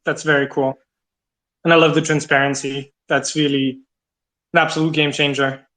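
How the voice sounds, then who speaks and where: Higher-voiced man hosting a casual chat. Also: level tone, normal speed, fairly bright tone. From Serbia. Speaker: host. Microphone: online audio chat.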